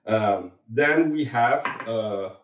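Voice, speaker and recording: A man's voice: fairly low pitch, lively intonation, very slow, fairly bright tone, recorded from a distance. Dutch-accented man cooking in a roomy kitchen. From Suriname. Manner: friendly, hesitant, many fillers and restarts. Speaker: cooking channel host. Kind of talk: casual home cooking demonstration. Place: home kitchen.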